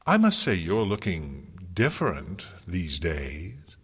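A man's voice: low-pitched, very animated, slow pace, a bit bright, slightly rough, full character voice. A man voicing a mad, gleefully malicious chaos creature in a storybook, theatrical, taunting and crooning. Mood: mock courtly. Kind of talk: dramatic storybook character reading.

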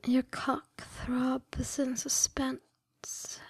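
A woman speaks in a breathy, hushed whisper with a creaky edge. Her delivery is extremely slow and deliberate, soothing but commanding, as in a scripted hypnosis ASMR track. The sound is dry and intimate. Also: sits high, flat delivery, dry recording. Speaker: erotic hypnosis audio performer.

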